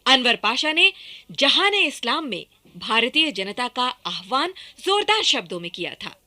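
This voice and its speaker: Animated, bright-voiced woman narrator. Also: a little high, unhurried. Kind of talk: scripted radio documentary-drama narration.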